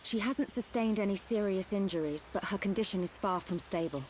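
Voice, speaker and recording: A composed young medic , played by a woman, speaks at a medium pitch. She sounds capable, in video game style, with background ambience. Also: a little fast, darkish tone, a little hiss or hum, natural voice. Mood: serious.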